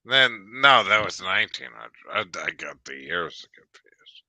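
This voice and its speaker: A man in his fifties rambles through a casual chat, stumbling over restarts and fillers, then bursting out now and then. His voice is deep yet bright and crisp, and his talk comes slowly. The sound is dry and close. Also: sing-song, dry recording.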